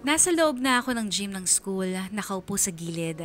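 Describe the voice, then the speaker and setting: A lonely schoolgirl voiced by a woman narrates a radio drama in a medium-pitched voice. Her delivery is measured, anxious and sorrowful, as if confiding, with clear music underneath. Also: big pitch swings, lightly acted. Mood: anxious, sad.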